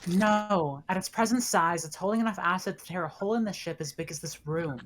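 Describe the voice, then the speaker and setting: A woman's voice: on the low side, a little fast, close to an everyday voice. A woman playing a commanding, skeptical spaceship officer in a table read of a sci-fi horror script. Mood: firm.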